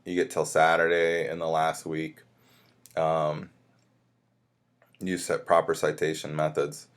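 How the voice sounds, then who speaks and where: A man's voice: extremely low pitch, completely flat delivery, a little slow, smooth. A man speaking as a college instructor, walking students through a course in an informal, conversational and reassuring way with self-corrections. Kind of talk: informal course orientation lecture, explaining syllabus to students.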